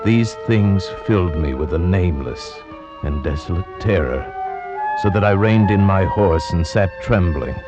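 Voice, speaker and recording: A grave gentleman haunted by dread, in a very deep, solemn and deliberate voice. It is theatrical vintage radio horror on a muffled recording, with prominent music behind. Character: grave, dread-filled gentleman narrating a gothic tale. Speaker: narrator and visiting friend. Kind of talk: old-time radio horror narration.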